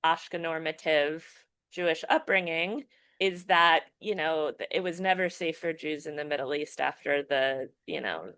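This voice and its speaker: Reflective woman with a low, dry voice. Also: sharp tone, clean tone, no echo. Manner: thoughtful, restarts often, many fillers like "you know". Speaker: filmmaker and educator guest. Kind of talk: casual podcast interview.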